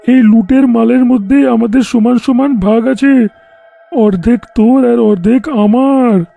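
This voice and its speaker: Male actor as petty thief, cartoon, weary, chummy, colloquial, raised pitch, soft tone. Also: vocal fry, full character voice.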